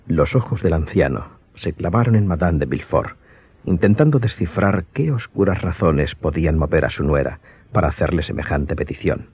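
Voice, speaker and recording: A man's voice: low-pitched, animated, moderate pace, breathy edge, dry recording. A man narrating a scripted radio drama as the storyteller. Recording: slightly dull audio, music behind.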